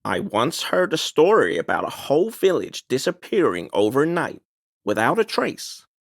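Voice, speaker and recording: An actor voicing a fussy, defensive amateur cryptid enthusiast in a video game, with a pedantic, wordy, evasive and grumbling way of talking. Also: male voice, mid-range voice, wildly swooping pitch, normal speed, gravelly, no echo, studio quality, heavily acted. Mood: earnest, intrigued. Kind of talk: video game character dialogue.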